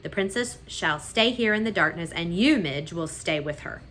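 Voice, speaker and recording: A woman's voice: on the low side, expressive, normal speed, a bit bright, a little hiss or hum. A woman voicing a haughty, scheming male rat who speaks in a pompous, formal way.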